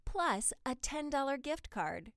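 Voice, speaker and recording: A woman giving a polished, clearly enunciated scripted promotional read as a voice-over artist. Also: high voice, very animated, slow pace, fairly bright tone, no room sound, clean studio sound. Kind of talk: scripted promotional voice-over for health and diet ads.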